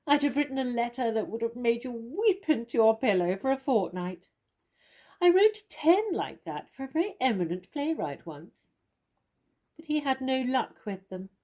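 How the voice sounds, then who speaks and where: Famous stage actress played by a woman, witty and self-assured, gently mocking, mellow tone, theatrical comedy delivery. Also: mid-range voice, natural voice. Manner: arch, condescending, theatrical.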